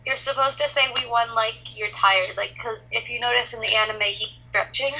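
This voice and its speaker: A woman with a slightly husky voice giving casual acting notes as a fandub director on an online call. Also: a little high, fairly even intonation, brisk, bright, forceful tone, poor audio.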